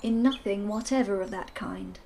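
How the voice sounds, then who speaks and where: A woman's voice: mid-range voice, moderate pace, dark tone, slight background noise, close to an everyday voice. A woman voicing a prim, fussy male professor who is a firm skeptic. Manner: precise, prim, slightly pompous.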